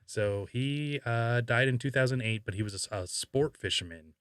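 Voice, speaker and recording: A man hosting a podcast, chatting casually and haltingly as he thinks aloud. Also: medium pitch, fairly bright tone, clear, resonant tone, dry recording, clean studio sound. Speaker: podcast host. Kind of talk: casual conversational podcast talk.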